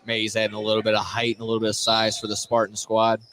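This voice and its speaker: A man's voice: fairly low pitch, monotone, fast, a bit bright. A man working as a color commentator, giving loose live analysis of a basketball game between plays. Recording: noisy live gym broadcast. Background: clear gym ambience.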